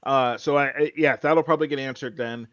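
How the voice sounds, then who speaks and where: A man co-hosting a podcast, casually recounting a show in an earnest, halting way. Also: medium pitch, steady tone, fairly bright tone, dry recording, muffled recording. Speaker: podcast co-host.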